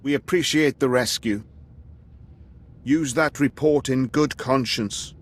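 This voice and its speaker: A man playing an aging, courteous Imperial officer in a video game, speaking in a formal, measured way. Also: mid-range voice, lightly acted. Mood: formal, guarded. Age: older.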